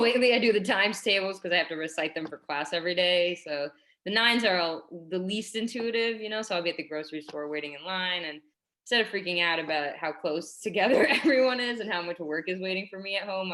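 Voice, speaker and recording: A woman's voice: lowish voice, fairly bright tone, slight background noise, lightly acted. A woman playing a supportive, slightly awkward schoolteacher in a staged reading, speaking in an earnest, conversational, hesitant way. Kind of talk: staged reading over video call.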